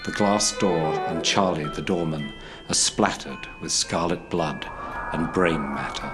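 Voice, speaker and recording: A man narrates a drama script in a deep, low voice. Loud music plays behind his scripted delivery. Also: measured pace.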